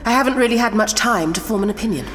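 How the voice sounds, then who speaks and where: An actress playing a composed, calculating female prime minister who speaks in a measured, politely evasive way. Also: a little high, a bit bright, big-room sound, a little hiss or hum, close to an everyday voice. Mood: cool, guarded. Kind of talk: audio drama acting.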